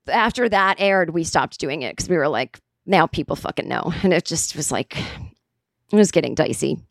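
A raspy-voiced woman in her forties, a comedian hosting a podcast, telling a story casually with plenty of swearing and acted-out quotes. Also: lowish voice, no echo, studio quality. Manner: profane, animated, acts out quotes.

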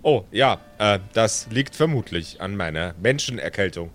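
A man's voice: fairly low pitch, animated, normal speed, slight background noise, pitched below the performer's own voice, close to an everyday voice. A man voicing an oddly formal, robotic impostor who poses as human, speaking stiffly and over-politely in an improvised audio role-play. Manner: stilted, over-polite, over-literal.

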